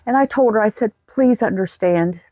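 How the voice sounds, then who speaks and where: A woman's voice: mid-range voice, normal speed, warm tone, dull audio. An American woman in her sixties telling a personal story in a folksy, plain-spoken way. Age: 60s. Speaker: vlogger.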